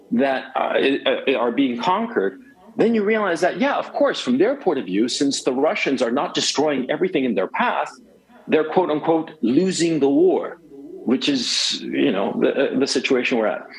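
A man's voice: mid-range voice, very animated, measured pace, rough recording. A man in his forties from Chile, a guest talking emphatically on a cell phone, rambling with many restarts. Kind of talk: phone interview.

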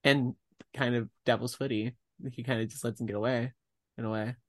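A man's voice: mid-range voice, steady tone, brisk, a bit bright. A man co-hosting a podcast, chatting casually and self-deprecatingly, often quoting his own thoughts aloud. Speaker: podcast co-host. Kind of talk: casual chat.

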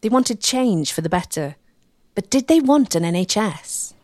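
Female documentary narrator, mid-range voice with lively intonation, measured and emphatic, dry studio sound. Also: medium pitch, no room sound.

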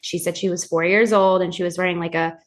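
Animated young woman, lots of "like". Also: fairly low pitch. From Filipino heritage. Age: 20s. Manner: animated storyteller, many "like" fillers, slows for explanations. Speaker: podcast guest, psychic reader. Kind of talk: casual interview chat.

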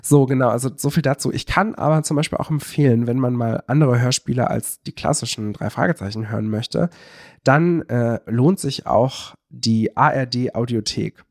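A man's voice: medium pitch, moderate pace, darkish tone, no room sound, clean studio sound. A man co-hosting a podcast in casual chat, explaining things in a rambling, enthusiastic way. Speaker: podcast co-host.

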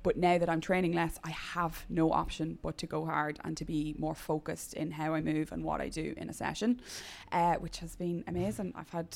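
A woman's voice: deep voice, steady tone, moderate pace, vocal fry, few pauses. A woman guesting on a podcast, playful and teasing. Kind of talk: casual podcast chat.

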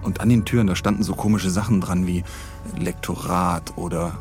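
Low-voiced chatty man over faint music. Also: steady tone, normal speed, darkish tone. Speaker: podcast host. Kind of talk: casual humorous retelling.

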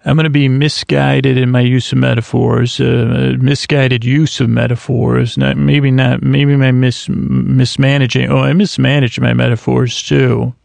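A man in his thirties hosting a sleep podcast in a slow, meandering bedtime monologue, halting often with restarts and fillers. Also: mid-range voice, level tone, vocal fry, darkish tone, no echo. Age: 30s.

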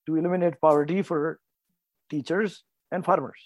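Indian man, 40s, interview guest; higher voice, dry mic, meandering and self-correcting. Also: fairly even intonation, normal speed, dry recording. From North India. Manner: rambling, self-correcting, explanatory. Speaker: guest, farmer and entrepreneur. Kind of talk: casual interview talk.

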